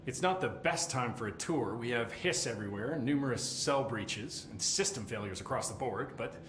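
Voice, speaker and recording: A man's voice: medium pitch, animated, normal speed, background noise, lightly acted. A man playing a flustered, stammering supervisor. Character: flustered male supervisor, fussy tour guide. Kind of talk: video game cutscene acting. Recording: echoey room.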